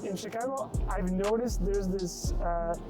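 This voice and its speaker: Young man from Italy, thoughtful, hesitant, loud background music. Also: high voice, a little slow. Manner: thoughtful, hesitant with fillers and restarts. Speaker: interviewee. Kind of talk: casual conversational interview.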